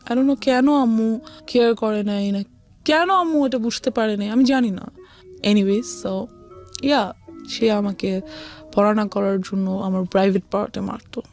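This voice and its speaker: A woman's voice: mid-range voice, measured pace, a little mellow, faint music behind. A woman with a Bangladeshi accent, an interview guest telling a personal story and often switching between Bengali and English.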